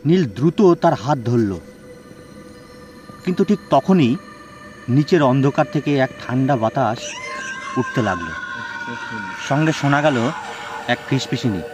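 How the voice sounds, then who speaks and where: A man's voice: mid-range voice, lively intonation, measured pace, long pauses, darkish tone. A man telling a horror story as a dramatic, scripted narration. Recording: music bed behind the voice.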